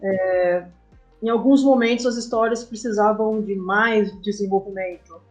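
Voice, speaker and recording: A Brazilian woman talks casually as an interview guest. Her voice sits at an average pitch, and she explains things thoughtfully in short, halting phrases. Soft music runs behind her. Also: fairly even intonation. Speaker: interview guest, comic artist and game writer. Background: background music.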